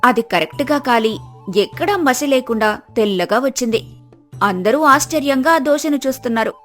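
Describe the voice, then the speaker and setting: Bright female storybook narrator. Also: high voice, animated, moderate pace, soft background music.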